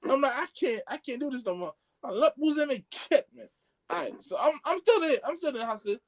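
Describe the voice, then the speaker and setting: A man telling a story among friends on a podcast, excitable and slangy, acting out the dialogue as he goes. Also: extremely high pitch, fast talker. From Haitian background. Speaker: podcast co-host.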